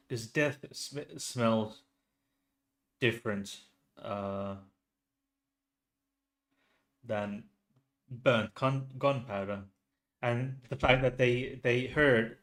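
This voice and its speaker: Slow, hesitant Finnish-accented man. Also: medium pitch, fairly bright tone, poor audio. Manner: hesitant, many restarts and fillers. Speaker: true crime channel host. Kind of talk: casual solo commentary.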